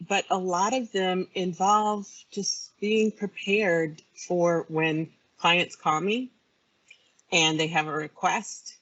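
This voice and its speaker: Bright-voiced woman guest, slightly dull audio. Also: fairly low pitch. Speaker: guest, creative services business owner. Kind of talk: casual interview chat.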